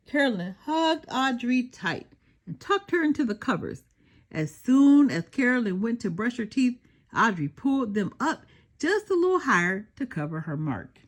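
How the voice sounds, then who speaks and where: An older woman reading a children's story aloud in an expressive storytelling style. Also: on the high side.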